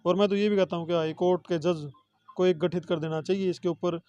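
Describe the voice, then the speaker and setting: A male interviewee with a Haryanvi accent speaks off the cuff in a high but mellow voice. The audio is slightly dull and muffled. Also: fairly even intonation, a little fast, no echo. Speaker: interviewee, relative of the person discussed. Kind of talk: spontaneous interview answers.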